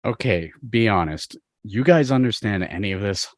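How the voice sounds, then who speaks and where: A man's voice: on the low side, animated, normal speed, dry recording, studio quality, natural voice. A man acting an exasperated, sarcastic teenage guy in a dramatic table read over a video call. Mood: skeptical, sarcastic.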